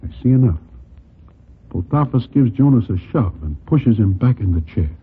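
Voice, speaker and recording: An actor playing a wry New gangland narrator with formal slang, in 1940s radio acting style. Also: male voice, medium pitch, lively intonation, normal speed, husky. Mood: hushed, watchful. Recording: tinny 1940s radio recording, music bed.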